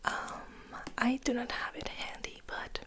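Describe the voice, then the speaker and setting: A young woman whispering softly and gently in an ASMR style, reading and musing in a hesitant way. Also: slow pace. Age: young adult. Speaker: ASMR host, literature student.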